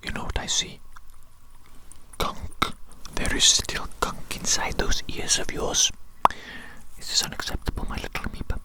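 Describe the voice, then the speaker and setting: A performer voicing a creepy evil doctor in a whispered ASMR medical roleplay, breathy and theatrical, slowly repeating phrases and coaxing with pet names. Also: extremely high pitch, completely flat delivery. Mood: sinister, fussy. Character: creepy evil doctor, reformed man-eater, fussily obsessed with clean ears.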